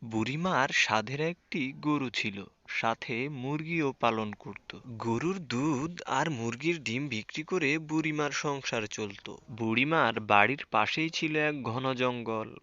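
Male storybook narrator: unhurried pace, medium pitch, scripted delivery, slightly muffled lo-fi sound. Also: pushed and bright, no echo. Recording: dull lo-fi sound.

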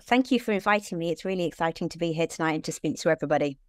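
A British woman in her forties, a children's lung doctor, explaining clearly and steadily on a video call from a room at home. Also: lowish voice, animated, rapid-fire, no room sound. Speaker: paediatric respiratory consultant. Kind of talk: answering questions in an online talk.

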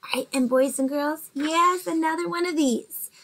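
A woman in her forties teaching a math lesson to young children from her bedroom, gentle and encouraging, often asking them questions. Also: sits high, normal speed. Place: bedroom at home, indoors.

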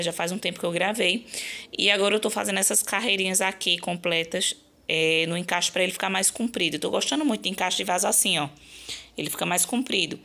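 A slightly hoarse woman with a Brazilian accent, explaining her craft work in a chatty, casual vlog style. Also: lowish voice, bright, forceful tone. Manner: chatty, explanatory, frequent check-in tags. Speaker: crochet channel host.

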